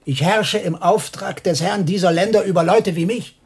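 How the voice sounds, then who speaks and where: Higher-voiced, worldly sinful monk. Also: male voice, moderate pace, a little mellow, slightly rough. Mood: bitter, resigned. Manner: earnest, confessional, bargaining. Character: worldly, guilt-ridden monk steward, wary and resigned. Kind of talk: radio play acting. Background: music.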